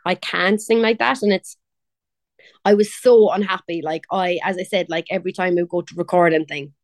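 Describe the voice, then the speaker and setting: A woman's voice: lowish voice, steady tone, fairly quick, no echo, studio quality. A young woman from Cork with a Cork Irish accent, chatting casually as an interview guest, laughing and quoting others. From Ireland. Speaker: pop singer, guest.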